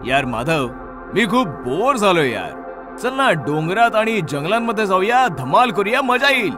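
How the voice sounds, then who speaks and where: A man's voice: high voice, moderate pace, over music. A man voicing an adventurous young male traveller in a horror story, speaking in casual slang. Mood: eager. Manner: excited, casual, friendly slang. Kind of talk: dramatised horror story dialogue.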